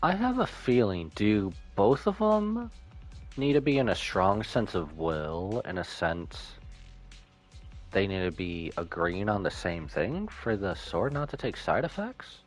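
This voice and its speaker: A man's voice: lowish voice, big pitch swings, a little slow, soft background music. A man streaming a game with casual live reaction commentary, slangy, joking and incredulous in manner.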